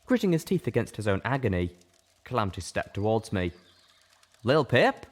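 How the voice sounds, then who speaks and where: A man with a low voice reads fiction aloud. His narration is dramatic and intense, with very lively, expressive shifts of pitch. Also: fast. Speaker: audiobook reader. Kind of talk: dramatic first-person story narration.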